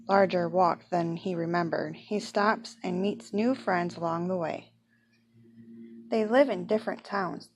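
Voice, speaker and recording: Female storybook reader, mid-pitched and expressive, on an amateur recording with faint noise. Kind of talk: children's picture book read aloud.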